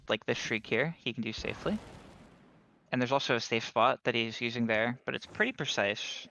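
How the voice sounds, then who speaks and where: A man's voice: medium pitch, vocal fry, pushed and bright, low-quality recording. Casual man explaining speedrun strategy. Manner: casual, analytical, explains strategy, occasional laughs. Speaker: commentator. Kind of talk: live esports commentary.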